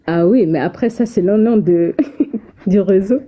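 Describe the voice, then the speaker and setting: Dark husky-voiced woman chatting. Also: medium pitch, unhurried. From Africa, Ethiopian mother and Senegalese father. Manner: hesitant, repeats herself, laughs often. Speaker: guest in an online conversation. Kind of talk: casual chat.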